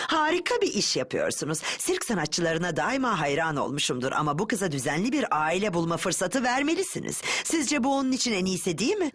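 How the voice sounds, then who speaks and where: A woman playing a polite but firm social services officer in a dubbed cartoon, formal, placating and insistent. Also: fairly low pitch.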